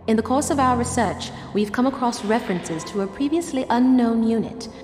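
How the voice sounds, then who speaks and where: An actress playing a polite, precise, inquisitive temple archivist in a sci-fi video game cutscene. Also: female voice, a little high, brisk, a little mellow, a little hiss or hum, close to an everyday voice. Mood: formal, inquiring. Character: formal, inquisitive female Jedi Temple archivist. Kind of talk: scripted game cutscene dialogue. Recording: echoey hall reverb, faint music.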